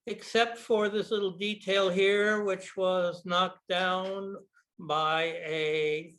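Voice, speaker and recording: Older American man, slow delivery, slightly high voice, video call talk. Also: fairly bright tone. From United States. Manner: deliberate, with frequent ums and uhs. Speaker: guest speaker, bookshop owner. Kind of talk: presentation lecture over video call.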